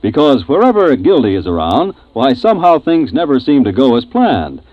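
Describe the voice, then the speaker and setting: A man's voice: mid-range voice, wildly swooping pitch, normal speed, no echo. An American man giving a polished, upbeat promotional read in 1950s network radio announcer style. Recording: dry, dull old radio recording.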